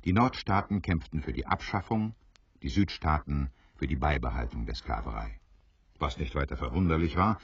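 A man narrating a dramatised story in a theatrical, deliberate way with a wry touch. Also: rumbling low, slightly rough, no echo, exaggerated voice. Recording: dry, slightly dull studio recording.

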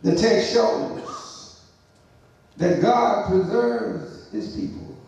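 Middle-aged male pastor, high voice, slow and deliberate delivery, captured from afar in a reverberant sanctuary. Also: sing-song, creaky. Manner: preaching with rising, shouted emphasis and call-outs to the congregation. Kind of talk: sermon. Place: church sanctuary, large, indoors. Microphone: pulpit microphone, far camera. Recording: very echoey church hall from a distance.

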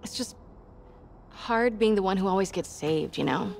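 A woman's voice: mid-range voice, normal speed, gravelly, a little mellow, slight background noise, natural voice. A woman voicing a plucky, stubborn young American woman, a superhero's partner, in a video game cutscene. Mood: sincere. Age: young adult.

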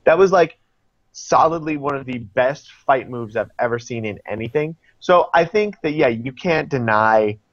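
A young man guesting on a video-call podcast, talking eagerly in quick bursts and laughing, recorded through a webcam in a small room. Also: medium pitch, no room sound, highs cut off. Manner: animated, enthusiastic, fast bursts, laughing. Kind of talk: casual chat reviewing a TV episode.

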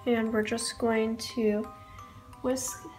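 Casting: young female baking vlogger with a cold, high voice, casual recipe narration, music underneath. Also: flat delivery, very slow, darkish tone. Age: young adult. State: sick with a cold. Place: home kitchen, indoors.

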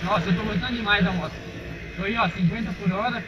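A man's voice: sits high, fairly even intonation, fast. Chatty Brazilian man riding a motorbike. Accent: Brazilian Portuguese. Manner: chatty, addresses viewers as "rapaziada". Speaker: motovlogger. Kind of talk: casual motovlog while riding. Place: outdoors on a dirt road, riding a motorcycle. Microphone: helmet-mounted action camera. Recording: muffled helmet camera with engine and wind noise.

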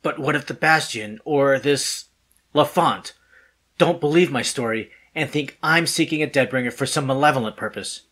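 Man acting an anxious, husky-voiced pleader. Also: mid-range voice, moderate pace, a bit bright. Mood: nervous. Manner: hesitant, stammering, earnest. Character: anxious, pleading polite man seeking desperate help. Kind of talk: audio drama acting.

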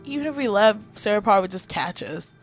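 A woman's voice: mid-range voice, animated, quick pace, soft background music. Woman co-hosting a gaming video. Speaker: let's play co-host. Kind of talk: casual gaming chat.

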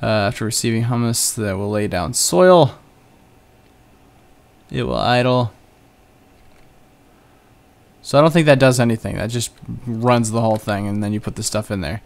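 A man's voice: mid-range voice, normal speed. A young man casually narrating his own computer game play, rambling and joking at himself as he goes. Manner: chatty, rambling, self-joking. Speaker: gaming channel host. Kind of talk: casual Let's Play commentary.

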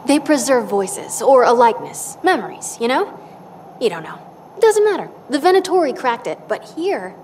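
A woman playing an eager young female dwarf crafter in a video game, talking in an informal, rambling, excitable way. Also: fairly high pitch, moderate pace. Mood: excited. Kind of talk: scripted video game dialogue. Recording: reverberant hall, background noise.

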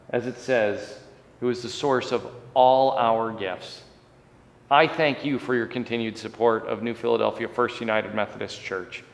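A man in his forties, a pastor, preaching and praying in an earnest way with rising and falling emphasis. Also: medium pitch. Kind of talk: sermon and prayer. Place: church sanctuary, indoors. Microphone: fixed camera at chest height. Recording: long church hall reverb.

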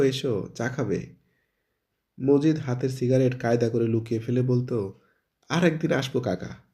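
A man's voice: medium pitch, warm tone. A man reading a short story aloud, acting out the characters' dialogue within the narration.

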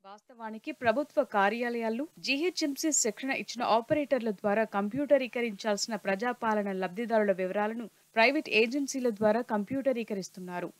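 A woman narrating a news report in a steady, formal reporting voice. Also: fairly high pitch, fast talker, hardly any pauses, dry recording, poor audio.

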